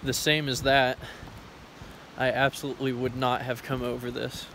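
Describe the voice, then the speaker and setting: A man's voice: medium pitch, completely flat delivery, normal speed, vocal fry, a bit bright. The guy filming himself on a forest trail, rambling casually into his phone at arm's length as he hikes, outdoor noise around him. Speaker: hiking vlogger. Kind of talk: casual rambling vlog commentary while walking. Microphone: phone camera at arm's length. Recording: noisy outdoor handheld recording.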